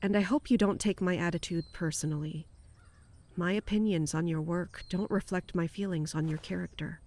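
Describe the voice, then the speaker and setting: A woman's voice: lowish voice, fairly even intonation, moderate pace, a little mellow, slight background noise, close to an everyday voice. An actress playing a dutiful, exasperated female palace guard captain in an audio drama, speaking in a wry, formal way. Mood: guarded, subdued. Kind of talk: audio drama monologue and dialogue.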